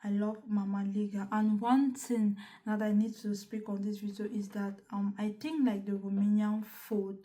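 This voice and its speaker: A woman's voice: medium pitch, little pitch movement, normal speed, few pauses, dark tone. A young woman from northern Cameroon talking casually to the camera in a chatty, repetitive way, restarting her sentences often. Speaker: vlogger.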